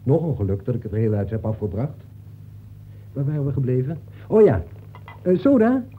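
An actor in a Dutch radio play, playing a talkative, breezy detective from a novel who nags in a familiar way. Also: male voice, medium pitch, expressive, moderate pace, a little hiss or hum, natural voice. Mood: chummy, chatty. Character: talkative fictional detective come to life, chummy, reproachful. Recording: muffled phone-like old radio recording.

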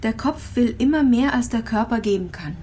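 An actress playing a gravely ill young immigrant mother, frail and resigned, confiding in halting, repeated phrases in a radio drama. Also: fairly high pitch, expressive, dark tone, slight background noise, lightly acted. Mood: weary, searching. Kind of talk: intimate radio drama monologue and dialogue.

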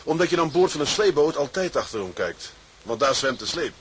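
A man's voice: a little high, big pitch swings, a little fast, a little hiss or hum. An actor playing a young boatswain in a radio drama, respectful to his superior, hesitant but candid. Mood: frank, wistful. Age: young adult. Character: young, frank, respectful boatswain from the towing trade.

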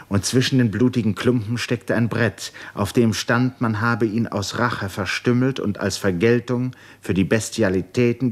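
A man narrating a literary radio drama in a grave, measured manner, with short, deliberate phrases. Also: fairly low pitch.

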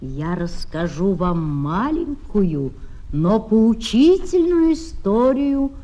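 A woman's voice: mid-range voice, very animated, slow, dark tone, highs cut off. A woman voicing a stern, cautious mother cloud in an admonishing storybook manner. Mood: stern, warning. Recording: vintage children's flexi-disc with music.

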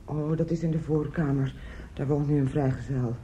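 A woman's voice: low voice, fairly even intonation, normal speed, dull audio, over loud music, natural voice. An actress playing a fretful, meddling older woman in a radio drama, plaintive and garrulous. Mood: insistent, confiding. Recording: echoey, noisy old radio drama recording.

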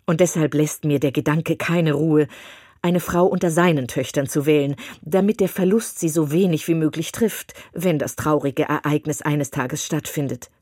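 A woman reading as a pompous male clergyman from a classic novel, long-winded and condescending. The voice sits low and slightly husky. The sound is dry and close. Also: fairly even intonation, normal speed, mellow, dry recording. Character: pompous self-satisfied male clergyman suitor.

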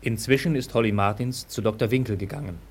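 Low-pitched male drama narrator. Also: quick pace, a little hiss or hum.

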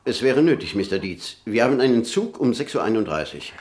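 An actor in a German radio play voicing a formal, self-important city lawyer with a stiff, officious manner. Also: male voice, mid-range voice, sing-song, a little fast, darkish tone, natural voice. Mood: stiff, businesslike.

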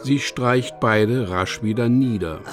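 A man narrating a literary radio play from a script in a measured, precisely enunciated way. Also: mid-range voice. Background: music.

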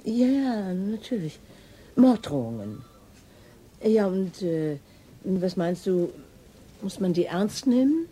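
Wry, mellow-voiced female chief inspector. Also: medium pitch, big pitch swings, takes it slowly, pauses often, breathy edge, heavily acted. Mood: distracted, dry. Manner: dry, mocking, colloquial. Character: wry, teasing, middle-aged female police chief inspector. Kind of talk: naturalistic radio drama dialogue.

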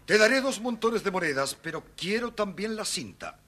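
An actor voicing a sly, insistent wizard master in a theatrical children's audio story. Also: male voice, high voice, expressive, normal speed, bright, forceful tone, heavily acted.

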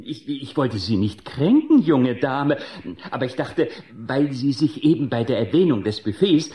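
A man's voice: mid-range voice, sing-song, a little slow, dark tone, roomy sound. A man playing a fussy, courteous cemetery gardener in a children's radio play, speaking in a flowery, over-polite way. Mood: flustered apology.

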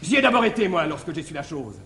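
An actor playing a sly, cheeky manservant in classical French stage comedy, exclamatory and mock-deferential. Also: male voice, a little high, very animated, fast, sharp tone, full character voice. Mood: slyly placating. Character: sly, cheeky young manservant schemer, theatrical trickster. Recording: echoey 1960s theatre stage recording.

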